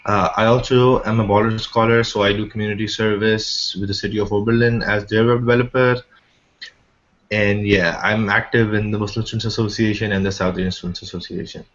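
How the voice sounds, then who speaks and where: A young man from Pakistan talks in a low, flat voice, pausing often as he introduces himself. His video-call audio is dull and muffled. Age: young adult. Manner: hesitant, many uh fillers. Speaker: student panelist. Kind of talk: casual self-introduction.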